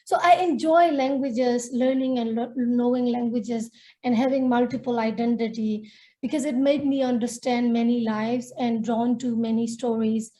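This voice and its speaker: A woman's voice: fairly high pitch, fairly even intonation, moderate pace, warm tone. A woman from Myanmar, a native Nepali speaker, telling her life story in earnest, reflective way as a webinar panelist over a video call. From Myanmar, northern Shan State. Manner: earnest, reflective, with small restarts. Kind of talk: personal storytelling talk.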